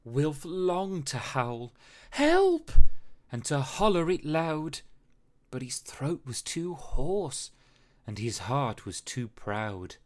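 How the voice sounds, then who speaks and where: A storybook narrator reads rhyming verse for children. The delivery is unhurried and full of dramatic swings, with expressive phrasing throughout. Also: mid-range voice. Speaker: storybook reader. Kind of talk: children's read-aloud narration in rhyme.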